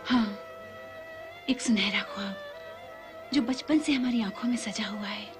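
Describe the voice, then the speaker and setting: A woman's voice: on the high side, moderate pace, over music, lightly acted. An actress playing a proud, headstrong, romantic young noblewoman in a period serial, in a dramatic, courtly manner. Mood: dreamy, confiding. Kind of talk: formal Urdu-flavoured period serial acting.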